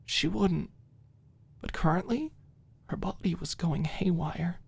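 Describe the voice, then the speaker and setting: A woman narrating a scripted story as a soft ASMR-style audiobook. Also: on the low side, slow, creaky, breathy edge.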